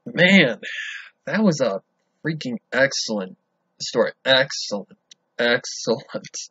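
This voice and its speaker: A man reacting to a story, excitable and thinking out loud, swearing now and then. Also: medium pitch, slow, slightly rough, dry recording. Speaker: reaction video host. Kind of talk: casual reaction commentary. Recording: dull lo-fi home recording.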